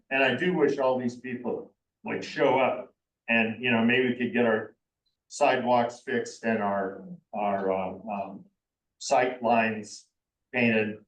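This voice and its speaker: A man giving a public comment at a community meeting, hesitant and questioning, with many pauses and fillers. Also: mid-range voice. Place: meeting room. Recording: echoey room, distant mic.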